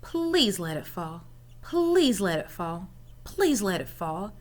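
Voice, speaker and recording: A woman voicing an eager fourth-grade boy in a children's storybook, with earnest, expressive delivery. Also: mid-range voice, takes it slowly, pitched below the performer's own voice. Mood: pleading.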